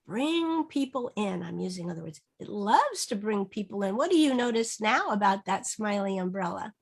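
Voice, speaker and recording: A woman teaching through an interactive children's read-aloud, modeling phrases slowly and asking prompting questions. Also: mid-range voice, lively intonation, gravelly, slightly rough. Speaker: reading teacher. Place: online video session.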